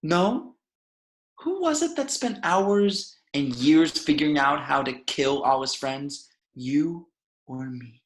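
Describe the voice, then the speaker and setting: A man playing a bitter, mocking supervillain with a measured, taunting delivery in a script table read. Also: on the high side, lively intonation. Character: bitter, mocking male supervillain mastermind, chillingly calm.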